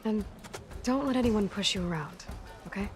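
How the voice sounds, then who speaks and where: An actress playing a calm, plain-spoken young huntress in video game dialogue. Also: female voice, medium pitch, moderate pace, close to an everyday voice. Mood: calm, measured.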